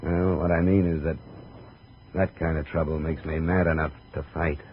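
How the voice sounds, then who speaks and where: An American actor playing a sardonic, skeptical private investigator in a 1950s hardboiled radio drama. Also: male voice, rumbling low, little pitch movement, normal speed. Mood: wry. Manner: sardonic, probing. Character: wry, skeptical, tough male private investigator. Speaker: lead detective of the series. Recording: dull, noisy 1950s radio recording.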